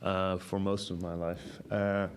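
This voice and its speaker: A young man from Ireland with a softened, somewhat Americanized Irish accent, telling his own story candidly and casually as a podcast guest. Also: low voice, flat delivery, takes it slowly, clear, resonant tone. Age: young adult.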